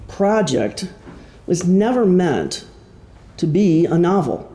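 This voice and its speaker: A man giving an informal talk about his own creative work, speaking in a halting, self-deprecating, conversational way before an audience. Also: a little high, warm tone. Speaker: author and musician giving a presentation. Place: indoor room before an audience. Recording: roomy live presentation sound.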